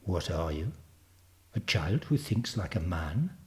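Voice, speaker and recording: A man voicing a puzzled, suspicious learned gentleman of the past in a storybook, muttering formal questions. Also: sits low, sing-song, slow pace, pitched below the performer's own voice, exaggerated voice. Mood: bewildered, suspicious. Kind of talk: storybook character reading.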